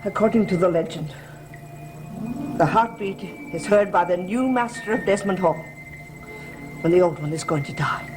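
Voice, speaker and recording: Superstitious female servant played by an actress, gothic soap, grave halting pleas and dark warnings, music clearly underneath. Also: lowish voice, lightly acted, poor audio. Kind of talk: 1970s television soap opera acting.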